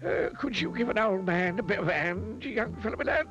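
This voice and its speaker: A man's voice: high voice, lively intonation, fairly quick, muffled recording, music in the background, pitched above the performer's own voice. A man playing a polite, wheedling old man in a storybook, hesitant and repetitive as he asks for help.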